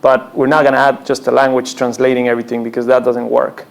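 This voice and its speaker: A man who founded a company, answering an audience question on a panel with a halting manner, often restarting his sentences. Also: medium pitch, little pitch movement, creaky. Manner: halting, many restarts, soft laughs. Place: conference room, before an audience.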